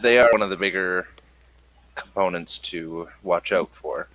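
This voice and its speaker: Male game master, very deep and slow, improvised tabletop exposition with halting phrasing. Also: a bit bright, slight background noise, low-quality recording.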